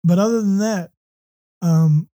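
Hoarse man with a bright high voice. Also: a little slow. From United States. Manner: earnest, many ums and restarts. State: hoarse with a runny nose. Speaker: podcast host. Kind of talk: faith talk show discussion.

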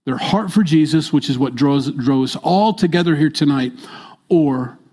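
An older man preaching a midweek sermon in a church, stressing his points by repeating them and moving between loud and soft delivery. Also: fairly high pitch, moderate pace. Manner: emphatic, repeats key phrases, swings between loud and soft. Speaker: pastor.

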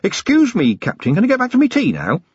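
Man putting on a high-voiced jolly big policewoman. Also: huge pitch swings, fast, darkish tone, slightly rough, no echo, lo-fi sound, heavily acted. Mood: breezy. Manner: breezy, clipped colloquial phrases. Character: big jolly good-natured woman constable, casual and chatty.

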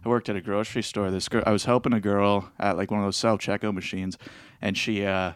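A man's voice: fairly low pitch, level tone, fast talker, clean tone, dry recording, clean studio sound. A man co-hosting a casual podcast, laid-back and rambling, with lots of filler words and frequent laughs. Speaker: podcast co-host. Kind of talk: casual chat.

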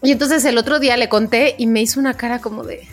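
A woman with a Mexican Spanish accent co-hosting a casual podcast chat, telling stories in an animated way and acting out quotes. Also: fairly high pitch, quick pace, soft background music. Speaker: podcast co-host. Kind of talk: casual chat among friends.

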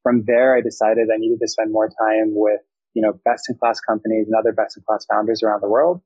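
A man's voice: on the low side, flat delivery, fairly quick, a little mellow, husky, no echo, lo-fi sound. A young man from Thailand, a startup founder, giving fluent, reflective interview answers full of business terms.

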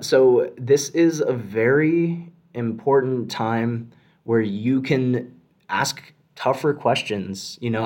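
A man's voice: medium pitch, darkish tone. A young man in his twenties, a medical student, chatting casually and rambling a little as he gives advice in a discussion. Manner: rambling, lots of fillers and restarts.

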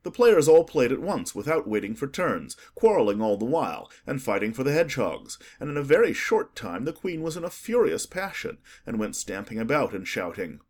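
A man narrating a children's story in an expressive, scripted audiobook style. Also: medium pitch, a little fast, hardly any pauses.

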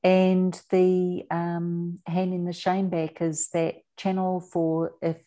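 A woman with a New Zealand accent speaking earnestly as an interview guest, deliberate and emphatic, repeating words for weight. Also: on the low side, monotone, warm tone. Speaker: interview guest, author and abuse survivor advocate.

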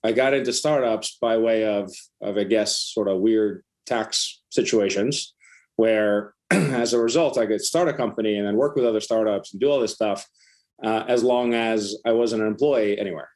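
A man's voice: lowish voice, moderate pace, a little mellow. A middle-aged man from Iceland talking casually as a startup founder and interview guest.

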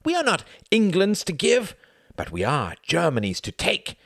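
A man's voice: high-pitched, wildly swooping pitch, moderate pace, gravelly, fairly bright tone, dry recording, clean studio sound, pitched above the performer's own voice, heavily acted. A man doing a zealous young German barfly. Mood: fervent. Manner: fervent, raised, declamatory.

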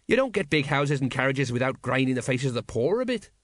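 A man's voice: mid-range voice, sing-song, fast, no echo, clean studio sound, close to an everyday voice. A man voicing an indignant, self-righteous, grumbling city watch sergeant. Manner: indignant, hesitant under questioning.

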